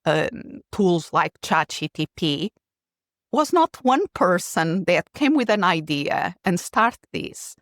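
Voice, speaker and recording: A woman's voice: on the low side, sing-song, takes it slowly, no room sound, studio quality. A middle-aged woman from Portugal with a Portuguese accent, explaining her ideas thoughtfully as an interview guest. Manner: thoughtful, explanatory, occasional restarts. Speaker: keynote speaker, guest. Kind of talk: interview answer.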